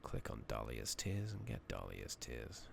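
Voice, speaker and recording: A man giving soft-spoken ASMR game commentary, muttering casually to himself and often slipping into a whisper, on a noisy close mic. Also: rumbling low, sing-song, measured pace, gravelly. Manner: murmuring, often dropping into whispers. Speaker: video game streamer. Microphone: makeshift-rigged new microphone. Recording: noisy close mic, slightly loud, clicking.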